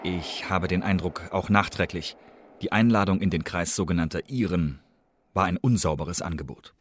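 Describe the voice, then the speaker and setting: An actor playing a guarded secret agent posing as a student, giving measured, formal first-person reports in a radio drama. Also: male voice, sits low, slight background noise, natural voice. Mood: wary, precise. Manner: measured, formal, precise, deliberate phrasing.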